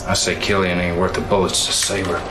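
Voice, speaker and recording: An actor playing a brash, gung-ho field officer in a game cutscene, talking in informal slang. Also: male voice, low-pitched, completely flat delivery, a little fast, background noise, lightly acted. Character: cocky, gung-ho male military field officer, brash and eager. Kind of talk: live-action game cutscene acting.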